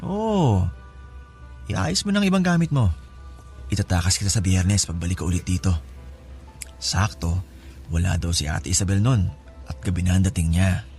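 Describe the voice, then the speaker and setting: Man acting as sly helpful in-law, lowish voice, coaxing, familiar, radio drama style, faint music underneath. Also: lively intonation, moderate pace, long pauses, darkish tone. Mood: reassuring, conspiratorial. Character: casual, scheming male in-law offering help with strings attached. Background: music bed.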